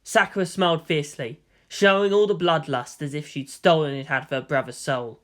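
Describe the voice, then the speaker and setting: A man's voice: fairly high pitch, fairly even intonation, brisk, fairly bright tone. A man reading a story aloud as dramatic scripted narration.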